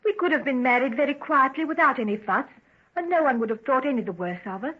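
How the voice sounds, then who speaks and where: High, husky, earnest young radio-drama daughter. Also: female voice, lively intonation, quick pace. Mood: pleading. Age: young adult. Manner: earnest, emotional, pleading. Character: devoted, worried young daughter, pleading and defensive. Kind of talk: old-time radio drama acting. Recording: muffled, tinny old radio recording.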